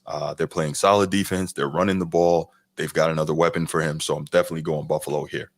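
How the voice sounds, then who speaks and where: A man with a very deep, low voice gives football picks in a measured, frank way. The sound is dry and close, with no room around it. Casual podcast chat. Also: flat delivery, no echo. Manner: measured, frank, agreeable with many mm-hmms. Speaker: podcast co-host.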